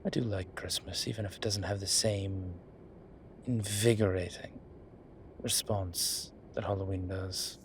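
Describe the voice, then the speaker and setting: A man playing a wry, laid-back incubus demon professor in a roleplay audio drama, talking with breathy pauses and trailing sentences. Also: low-pitched, steady tone, a little hiss or hum. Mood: content, reflective. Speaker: voice actor. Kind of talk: roleplay audio drama, one side of a conversation.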